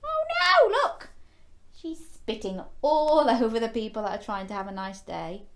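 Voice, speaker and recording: A woman's voice: a little high, very animated, measured pace, fairly bright tone. A woman hosting a children's story time, reading a picture book expressively with exclamations and playful questions to young listeners. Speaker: children's storyteller and show host.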